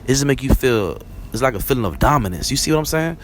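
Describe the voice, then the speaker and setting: A man's voice: medium pitch, sing-song, fast, slight background noise. A young man with a Barbadian accent hosting a rambling, conversational solo talk and often asking "you know what I'm saying?". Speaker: vlog host. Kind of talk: casual solo monologue.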